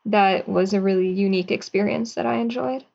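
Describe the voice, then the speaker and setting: A young woman in her twenties, a writer and actor, giving soft, thoughtful answers as an interview guest over a video call. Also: medium pitch, steady tone, fairly quick, vocal fry, highs cut off.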